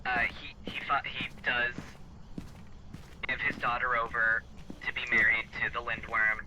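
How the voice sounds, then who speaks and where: Male streamer, bright and forward tone, loose halting storyteller who keeps restarting, faint noise on the mic. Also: medium pitch, fairly even intonation, low-quality recording. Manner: halting, rambling, restarts sentences often. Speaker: streamer telling a fairy tale while gaming. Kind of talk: casual live-stream chat and improvised storytelling.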